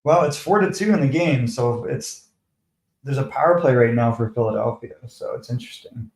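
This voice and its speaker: A man's voice: medium pitch, normal speed, vocal fry, a little mellow, echoey room. Canadian man chatting on a livestream. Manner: chatty, defensive, talks to viewers by name. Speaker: live stream host. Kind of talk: casual live commentary, replying to chat.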